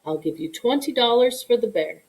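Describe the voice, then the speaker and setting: An earnest, thoughtful army veterinarian from a storybook, voiced by a woman reader. He speaks deliberately, with kindly resolve and a lively range of pitch. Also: rough recording. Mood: torn, earnest. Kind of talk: storybook read-aloud character.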